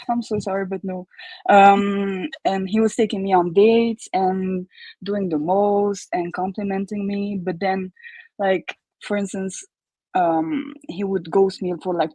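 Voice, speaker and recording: A woman's voice: mid-range voice, warm tone, dry recording, low-quality recording. A young woman from Colombia, a native Spanish speaker with a Colombian accent, telling her story as a caller on a live stream, hesitant with many ums. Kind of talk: casual storytelling.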